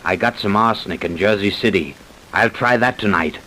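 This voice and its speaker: Scheming doctor on hissy old radio. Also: male voice, fairly low pitch, moderate pace, pushed and bright, low-quality recording. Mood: cold, businesslike. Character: scheming, cold-blooded male doctor, impatient insurance swindler. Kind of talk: old-time radio crime drama acting. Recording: hissy vintage radio recording.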